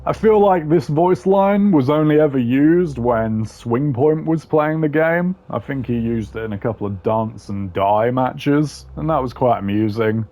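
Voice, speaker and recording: A man's voice: mid-range voice, unhurried, few pauses, warm tone, slight background noise. A man giving casual, opinionated commentary as a gaming video creator, blunt and informal with plenty of slang. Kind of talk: casual opinionated commentary ranking game voice lines.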